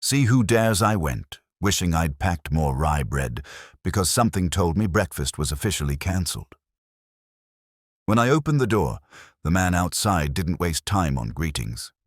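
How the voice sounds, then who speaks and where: A man with a very deep voice narrates a hardboiled detective tale. His delivery is wry and wisecracking, with lively swings in pitch. The sound is close and dry. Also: normal speed, no room sound. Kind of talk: first-person hardboiled detective audiobook narration.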